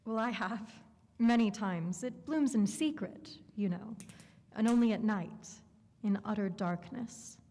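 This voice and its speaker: An actress playing a mysterious, romantic young woman in a radio drama, speaking in slow, dreamy, sensual phrases. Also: medium pitch, dark tone. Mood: dreamy, alluring. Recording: echoey hall with long reverb.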